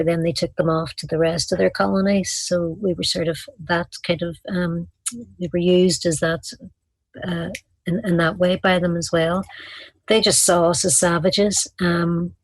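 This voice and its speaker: Earnest Irish woman, flat and low. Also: dry recording, rough recording. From Ireland. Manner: earnest, hesitant, with frequent ums and restarts. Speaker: guest, community activist. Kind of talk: explaining history in an interview. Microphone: video call webcam.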